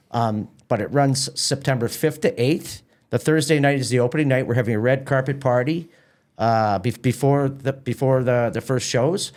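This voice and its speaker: A man's voice: medium pitch, a little mellow. A man chatting casually as an interview guest and festival organiser, informal, often repeating words and doubling back. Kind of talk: casual promotional interview chat.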